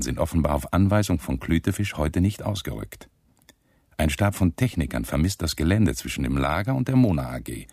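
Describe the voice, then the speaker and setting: An actor playing a petty, callous low-ranking SS sergeant in a German radio drama, officious and self-pitying as he complains through a diary monologue. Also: darkish tone, husky, dry recording, studio quality, heavily acted.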